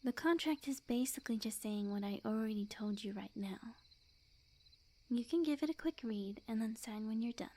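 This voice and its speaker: A woman playing a sweet, smug, possessive witch in love, in a soft, breathy ASMR roleplay addressed to the listener. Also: fairly high pitch, lightly acted. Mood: sweetly coaxing. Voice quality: soft, breathy, often whispered. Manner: slow, coy, teasing, overconfident.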